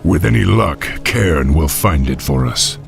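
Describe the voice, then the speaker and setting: A man acting a young orc war chief in a video game cinematic, resolute and thoughtful, with measured, deliberate delivery. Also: extremely low pitch, lively intonation, creaky, soft background music, full character voice.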